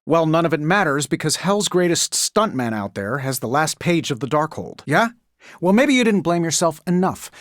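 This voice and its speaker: Wisecracking billionaire superhero, video game character, glib and quick-witted, very expressive intonation, higher-than-average male pitch. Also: no room sound, clean studio sound. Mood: matter-of-fact, joking. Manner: sardonic, glib, conversational.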